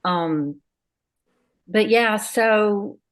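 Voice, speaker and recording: A woman's voice: mid-range voice, very slow. A woman, speaking candidly and reflectively about her faith and family in a casual group talk. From United States. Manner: candid, reflective, many fillers. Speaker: guest sharing a testimony.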